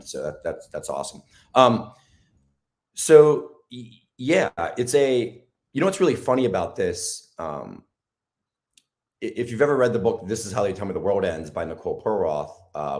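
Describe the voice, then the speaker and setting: Low, raspy-voiced man. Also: expressive, a little mellow. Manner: halting, lots of ums and restarts. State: hoarse from days of talking. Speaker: guest. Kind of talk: casual interview talk.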